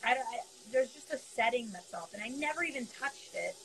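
A woman's voice: a little high, lively intonation, a little fast, a bit bright, slight background noise, rough recording. A young woman in her twenties chatting casually on a phone livestream from home, offhand and profane, with a stuffy nose. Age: 20s. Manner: offhand, profane, laughing asides. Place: indoors at home, apartment room. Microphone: phone camera at arm's length.

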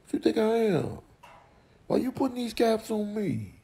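A man's voice: high voice, sing-song, moderate pace, vocal fry, warm tone, husky, full character voice. A man doing comedic voiceovers as indignant, sassy talking house pets, trading slangy, exasperated trash talk. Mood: grumbling.

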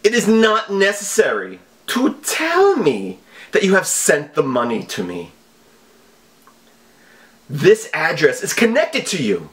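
An evil villain hacker with a made-up foreign accent. His voice is high and swoops widely, and he delivers threats slowly and theatrically in broken English, with boastful menace. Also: huge pitch swings, heavily acted. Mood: menacing. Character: evil villain hacker, menacing, over-dramatic male scammer.

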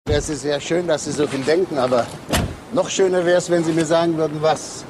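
An actor playing a suspicious detective sidekick with a dry, sardonic way of talking in a crime radio drama. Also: male voice, on the high side, fairly even intonation, brisk, background noise, lightly acted.

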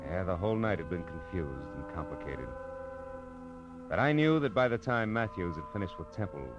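Male, American, a hard-boiled radio detective: low register, very lively swings, punchy and insistent. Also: moderate pace, lo-fi sound, music in the background. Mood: reflective narration. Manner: punchy, emphatic, clipped. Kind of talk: 1950s radio detective drama acting and narration.

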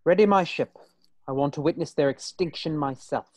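A man's voice: a little high, moderate pace, no room sound, close to an everyday voice. A man voicing a cold, scheming, haughty military villain in a fan table read of a film script. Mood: cold, imperious.

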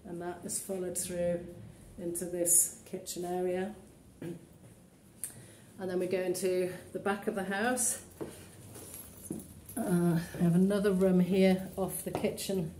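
A woman gives a practical, matter-of-fact tour of an empty townhouse, speaking into a handheld phone. Her voice is low, mellow and fairly flat, and it echoes around the bare rooms over a faint hum of ambience. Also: a little slow, long pauses. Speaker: estate agent giving a property tour. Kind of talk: walkthrough tour commentary. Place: empty, run-down townhouse indoors. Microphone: phone camera held while walking.

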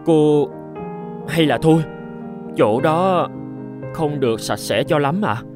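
A man voicing a poor, earnest teenage boy with big dreams in an animated moral tale, speaking with dramatic emotion. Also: on the high side, slow, music in the background. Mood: ashamed, hesitant.

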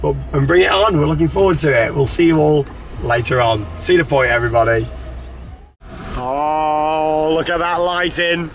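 A man's voice: on the high side, moderate pace, soft background music. A young man hosting a travel vlog from inside a car, chatting casually and upbeat with plenty of fillers. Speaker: vlog host. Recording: car and road noise behind.

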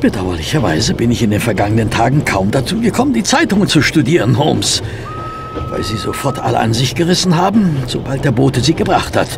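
A man's voice: mid-range voice, sing-song, a little fast. An actor playing a detective's puzzled, plain-minded male companion, flustered and questioning in a radio play. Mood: mildly put out. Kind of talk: German radio play dialogue. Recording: clear vehicle noise behind.

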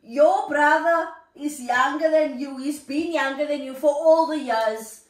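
A woman's voice: high-pitched, unhurried. A woman in her thirties questioning her partner in disbelief while seated on a sofa at home, recorded by a camera across the room. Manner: incredulous, pressing questions. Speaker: co-host of a couple's channel. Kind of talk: casual argument on a couch. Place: living room, sitting on a sofa. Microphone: far camera. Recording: echoey room, distant camera mic.

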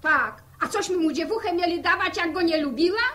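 A middle-aged farm wife in a vintage radio serial. Her voice sits high, and she is impatient and blunt, pushing into the talk with folksy emphasis. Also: fairly quick, fairly bright tone. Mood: indignant. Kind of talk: 1960 radio drama acting.

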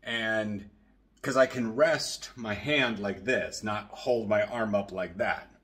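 Male vlogger, thirties, bright forward voice, loose rambling chat with joking asides, handheld camera at home. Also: lowish voice, takes it slowly, breathy edge. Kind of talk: casual chatty vlog. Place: apartment living room and open kitchen. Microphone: handheld camera at arm's length.

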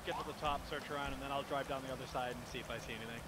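A man makes quick, procedural radio calls in a role-play game, at a middling pitch. Clear background noise sits under the voice. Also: fairly even intonation. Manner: brisk, procedural radio talk. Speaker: player on radio comms.

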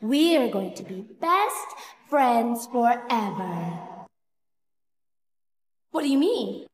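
A woman's voice: fairly high pitch, sing-song. Evil girly shadow pony from a cartoon, taunting and theatrical, swoops all over, big spooky reverb. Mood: panicked, frantic. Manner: taunting, theatrical, drawn-out villainous delivery. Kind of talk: animated series voice acting. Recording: echoey reverb, some background noise.

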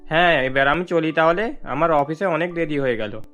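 Man playing an indulgent, higher-pitched cartoon dad. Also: normal speed, a bit bright, highs cut off, soft background music, lightly acted. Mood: hurried. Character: indulgent, agreeable middle-aged father. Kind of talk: Bengali cartoon voice acting.

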